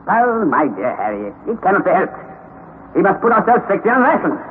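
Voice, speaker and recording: A man playing a determined, learned older uncle who leads an expedition in an old radio drama, with a brisk, authoritative manner. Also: high voice, big pitch swings. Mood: resolute. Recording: tinny, noisy old radio recording.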